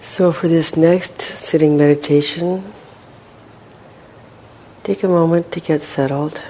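A woman leading a guided meditation as a teacher, speaking gently and without hurry, with long pauses. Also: fairly even intonation, poor audio. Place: meditation hall with a group. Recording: faint rumbling background noise.

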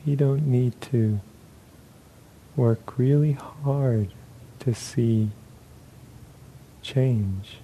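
A man leading a guided meditation as a teacher, speaking in short, unhurried phrases with long pauses between them. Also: mid-range voice, warm tone, slight background noise, rough recording.

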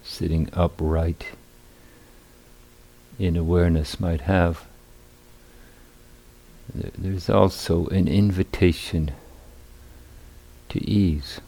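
A man teaching guided meditation at a retreat, speaking in deliberate, hesitant phrases with long pauses. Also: low-pitched, fairly even intonation, gravelly, dark tone, poor audio.

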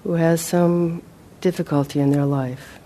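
A woman leading a guided meditation as a teacher, speaking gently and in a measured way with long pauses. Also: low voice, steady tone.

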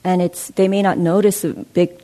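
A woman meditation teacher calmly answering a student's question in class, explaining gently with pauses. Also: fairly low pitch. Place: meditation center hall.

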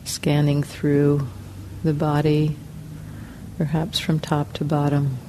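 A woman teaching a guided meditation in calm, measured short phrases with long pauses between them. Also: deep voice, slight background noise, poor audio. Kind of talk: guided meditation, slow spoken instructions.

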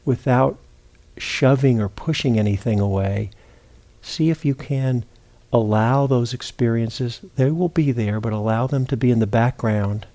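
A man teaching meditation, giving gentle, unhurried guided instructions with long pauses between phrases. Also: mid-range voice.

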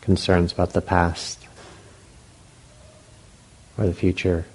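A man leading a guided meditation as a teacher, speaking gently and unhurriedly in short phrases with long pauses. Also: deep voice, monotone, slightly rough, low-quality recording.

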